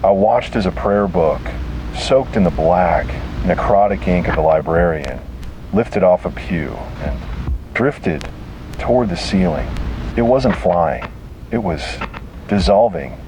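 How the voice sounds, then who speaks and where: A man reading a scripted horror narration in a grave, halting, dramatic way with heavy pauses. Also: sits low, animated, background noise.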